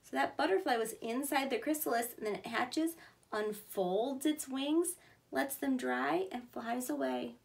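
A woman in her thirties, a preschool teacher reading aloud to young children in simple, clearly emphasized words. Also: high voice, a little slow.

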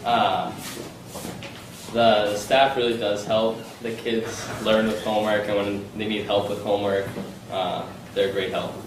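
A man's voice: fairly low pitch, level tone, unhurried, background noise. A teenage man, a volunteer tutor, answering interview questions in a classroom with some hesitation. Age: teens. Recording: echoey classroom, distant mic.

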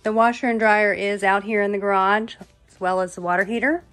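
A woman's voice: mid-range voice, fairly even intonation, a little fast, a bit bright, soft background music. A woman working as a real estate agent, narrating an informal walk-through of a property. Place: walking through a house and its yard.

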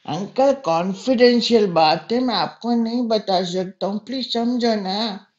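A man's voice: high voice, a little slow, a little mellow, muffled recording, voice pushed up. A man voicing an insolent young boy who makes taunting, mock-polite prank calls in a comedy sketch. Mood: mock-pleading.

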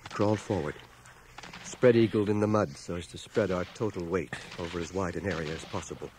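An actor playing a war veteran who soberly recounts his battle memories in measured, articulate, literary storytelling for a radio drama. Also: deep voice, a little mellow, close to an everyday voice. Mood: grave, tense. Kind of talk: dramatized first-person narration, radio drama. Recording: noisy cassette of 1980 radio drama.

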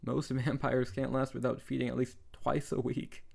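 A man's voice: medium pitch, flat delivery, normal speed, creaky, darkish tone, slightly rough. A man playing a young tsundere wizard who is bossy, exasperated and caring, in an intimate ASMR roleplay. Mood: exasperated, scolding. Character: tsundere young male wizard, scolding but tender best friend. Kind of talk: intimate ASMR audio roleplay addressed to the listener.

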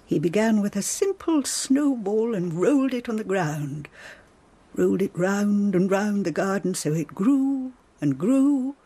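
An actress playing a dignified, devoted court lady in a radio drama, phrasing each thought with deliberate emphasis. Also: mid-range voice, lively intonation, warm tone, slightly rough. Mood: reverent, proud.

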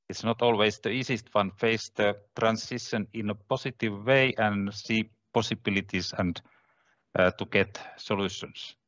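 A man in his sixties with a Finnish accent, giving halting, measured prepared remarks from a home office over a headset on a video call. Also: fairly low pitch, a bit bright. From Finland. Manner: measured, halting non-native English. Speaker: regional politician. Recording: muffled video call audio.

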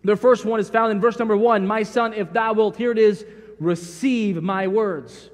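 High-voiced pastor preaching in a church. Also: male voice, moderate pace. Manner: folksy, animated storytelling, acts out dialogue. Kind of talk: sermon. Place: large church sanctuary. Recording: long reverb of church hall.

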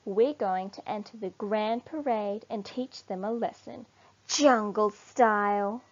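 A woman voicing a vain, excitable warthog in a children's storybook, exclamatory and emphatic. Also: mid-range voice, takes it slowly, dull audio. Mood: smug. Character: boastful, taunting warthogs, later dismayed. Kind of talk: read-aloud children's storybook.

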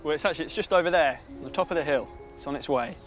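A man's voice: a little high, very fast, faint music behind. A man in his early twenties, a student building his own project, talking casually and modestly about the work and its cost. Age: 20s. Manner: easygoing, modest, laughing at himself. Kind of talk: casual conversation. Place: workshop and outdoor yard.

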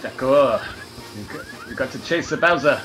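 A man doing comic gameplay commentary in a fake French accent, excitable and hammy, laughing at his own jokes. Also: medium pitch, normal speed, poor audio. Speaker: let's play gamer.

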